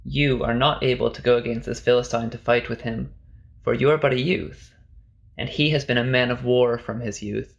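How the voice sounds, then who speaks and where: Man as older male king, gravelly fry, grave, measured, authoritative, scripture reading, medium pitch. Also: steady tone, lo-fi sound, natural voice. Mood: grave, questioning.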